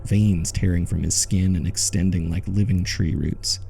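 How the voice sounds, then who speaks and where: A man playing a haunted survivor, narrating a horror audio drama in the first person with somber, measured suspense. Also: low-pitched, fairly even intonation, vocal fry, mellow, a little hiss or hum. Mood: somber, fearful.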